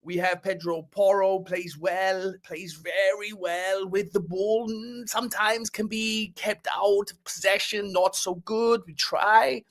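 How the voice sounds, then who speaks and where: A man's voice: sits high, fairly even intonation, slow, few pauses, fairly bright tone, heavily acted. A man mimicking a foreign football coach with a South African accent, speaking in clipped, broken, matter-of-fact sentences.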